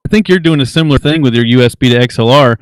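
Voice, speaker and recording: A man with a smooth, clean voice co-hosts a tech podcast. His pitch sits in the middle and the sound is dry, with an easy conversational style. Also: rapid-fire, no room sound. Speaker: podcast co-host. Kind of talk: casual tech chat.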